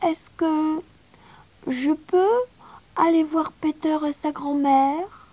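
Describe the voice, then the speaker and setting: A woman's voice: high voice, fairly even intonation, slow, mellow, pitched above the performer's own voice. A woman voicing an eager, joyful little mountain girl in a children's storybook, pleading and exclaiming. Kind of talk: children's storybook character acting.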